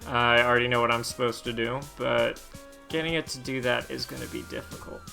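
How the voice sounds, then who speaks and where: A man's voice: mid-range voice, huge pitch swings, a little fast, creaky, pushed and bright, faint music behind. A man hosting a let's play, giving casual commentary and thinking aloud as he plays. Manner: thinks aloud while playing.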